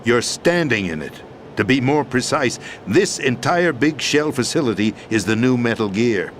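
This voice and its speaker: An actor playing a weary, formal deposed president and schemer in a video game, explaining things in a measured, deliberate way. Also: male voice, mid-range voice, animated, a little hiss or hum, lightly acted. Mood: grave, deliberate. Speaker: video game character in a cutscene. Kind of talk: dramatic expository video game acting.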